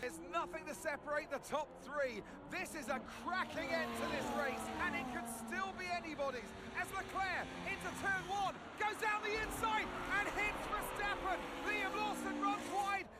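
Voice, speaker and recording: An actor playing an excitable motor racing commentator in a game, calling the action in a dramatic, projected, emphatic voice. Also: male voice, very high voice, normal speed, few pauses. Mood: keen, building. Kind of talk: sports commentary. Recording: loud vehicle and trackside noise.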